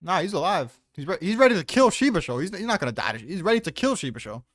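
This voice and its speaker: Higher-voiced man, argumentative and profane. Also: big pitch swings, fast, a bit bright, no room sound, studio quality. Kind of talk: casual debate, chat.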